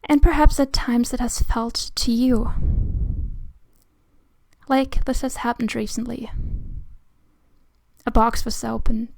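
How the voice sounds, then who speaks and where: A woman leading a guided meditation in a soothing, hushed, breathy voice with long pauses between phrases. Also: fairly high pitch, fairly even intonation, no echo. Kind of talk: slow guided meditation narration.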